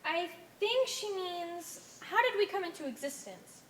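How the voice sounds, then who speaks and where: Stage play: actress as solemn blue cult woman, declarative, swooping pitch, echoey hall, faint noise. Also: expressive, measured pace, fairly bright tone, exaggerated voice. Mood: curious. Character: solemn blue cult member from a laboratory-made cell society. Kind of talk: amateur one-act stage play acting. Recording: echoey stage, noisy room ambience.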